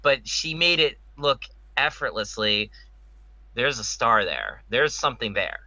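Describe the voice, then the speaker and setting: A man's voice: medium pitch, very animated, measured pace, sharp tone, no room sound, low-quality recording. A man chatting casually and enthusiastically as a podcast co-host, emphatic about what he likes. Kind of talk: casual chat.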